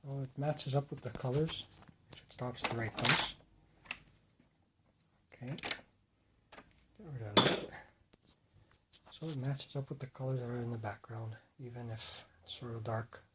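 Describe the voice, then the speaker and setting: A man with a rough voice, casually rambling and correcting himself while he shows his model city. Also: mid-range voice, steady tone, unhurried, pauses often, very noisy. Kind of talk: casual talk-through.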